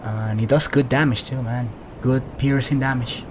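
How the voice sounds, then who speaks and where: A man's voice: medium pitch, steady tone, normal speed. A man giving casual, laid-back, conversational commentary over his own video game playthrough. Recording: noisy home gameplay recording.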